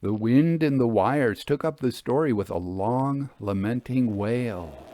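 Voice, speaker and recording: A man narrating a classic literary ghost story in an expressive, measured, theatrical manner. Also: medium pitch.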